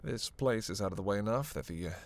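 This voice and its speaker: A creaky, gravel-edged male vampire played by a man in a roleplay audio drama. He talks casually and sarcastically, teasing and cursing as he goes, always playfully out for himself. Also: fairly low pitch, lively intonation, fast talker. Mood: wry explaining. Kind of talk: roleplay audio drama, one-sided conversation with listener.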